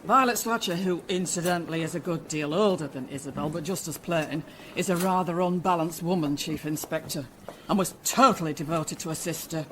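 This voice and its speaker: An actor playing a fussy, sardonic British hospital doctor in a radio drama, speaking in a precise, wordy, indignant way. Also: male voice, on the high side, brisk, a bit bright, slight background noise, close to an everyday voice, poor audio. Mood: explanatory.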